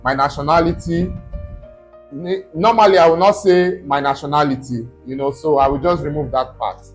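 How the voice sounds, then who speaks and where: A man's voice: on the high side, moderate pace, soft background music. A man, a study abroad consultant, walks viewers through a screen-recorded tutorial step by step and keeps checking in with "okay?".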